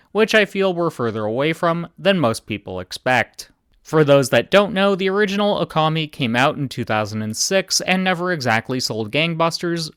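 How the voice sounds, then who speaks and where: Male game commentator, deadpan read, resonant and dry. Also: a little high, clean tone, dry recording. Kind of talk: scripted video commentary.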